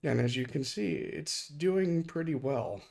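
A hoarse man recovering from illness, casually walking through a live hardware demo at his desk with lots of fillers. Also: a little high, a little slow, darkish tone. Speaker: open-source hardware project lead. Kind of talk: casual screen-recorded tech demo. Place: home desk.

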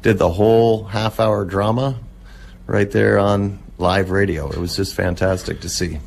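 Low-voiced man chatting in an interview. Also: steady tone, a little slow, gravelly. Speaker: interview guest, old-time radio collector and restorer. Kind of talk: casual radio interview talk.

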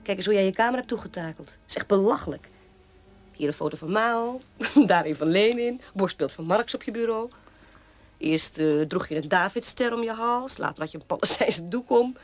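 An actress playing a mocking, sharp-tongued sister in a radio play, needling and scornful. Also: female voice, mid-range voice, lively intonation, moderate pace, natural voice.

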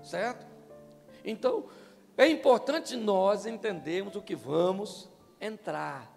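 Older Brazilian man preaching, high gravelly voice, long hall echo, faint music behind. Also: slow pace. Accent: Brazilian Portuguese. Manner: joking, animated, asks the crowd questions. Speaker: pastor. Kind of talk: humorous marriage talk to couples. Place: church stage, large hall. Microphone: handheld microphone.

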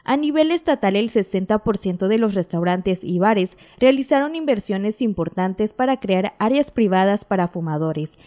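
A woman reporter reading a scripted news report in a steady, formal way. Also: mid-range voice, moderate pace, hardly any pauses.

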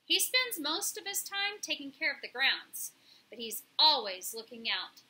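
A woman's voice: sits high, big pitch swings, measured pace, sharp tone, full character voice. A woman voicing a bold, streetwise female pigeon who leads her flock, talking in an emphatic, colloquial, bossy way in a children's storybook.

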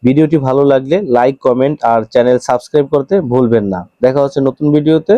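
A man's voice: mid-range voice, fairly even intonation, fast, no echo, muffled recording. A man with a Bangladeshi accent narrating a step-by-step phone tutorial, instructing viewers directly as the presenter.

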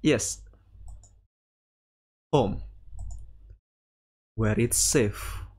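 A man streaming a visual novel, reading aloud and commenting casually in a halting way. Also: fairly low pitch, darkish tone, breathy edge. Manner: halting, breaks off to react to the story. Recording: faint music behind the voice.

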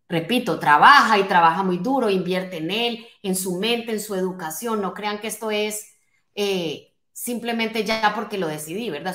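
A woman in her late forties speaks as a motivational coach to an online audience. Her voice sits at a middle pitch and she is emphatic and direct, often checking in with short questions. The recording is echoey, made at a desk in a small home office. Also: a bit bright. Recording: roomy, echoing indoor sound.

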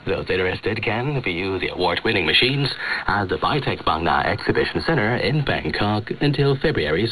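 A man's voice: on the low side, fairly bright tone, a little hiss or hum, poor audio. A man presenting scripted radio news over a shortwave broadcast.

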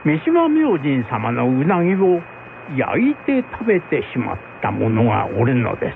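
A man's voice: medium pitch, huge pitch swings, unhurried, vocal fry, heavily acted. A man voicing a respectful, well-informed samurai retainer who explains in formal old-fashioned speech in a folk tale drama. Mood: deferential. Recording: phone-like band with faint noise.

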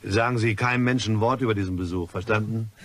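An actor playing a scheming, irritable French gentleman with a guilty secret in a radio crime play, speaking in a curt, evasive, nervy way. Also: lowish voice, quick pace. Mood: guarded, insistent. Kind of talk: radio crime drama acting.

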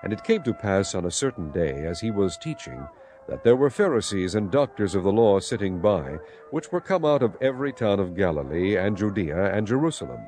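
A man narrating dramatized scripture as a solemn, measured storyteller. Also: lowish voice, very animated, a little mellow. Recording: clear music bed behind narration. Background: music.

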